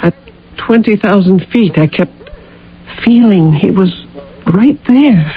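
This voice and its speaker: An actress playing a dazed, haunted woman pilot after a crash in a radio drama, with halting delivery. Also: mid-range voice, animated. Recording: tinny old radio broadcast.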